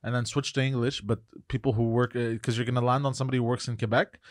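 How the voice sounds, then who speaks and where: A man on a casual podcast telling a story in a relaxed way, with many fillers. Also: medium pitch, rapid-fire, no echo, studio quality. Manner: laid-back storytelling with fillers. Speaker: podcast co-host. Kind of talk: casual chat.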